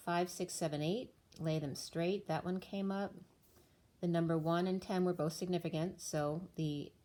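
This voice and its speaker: Female tarot reader, low voice going hoarse, rambling casual delivery. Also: a little mellow. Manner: rambling, stream-of-thought explanations. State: losing her voice. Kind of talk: casual spiritual reading talk.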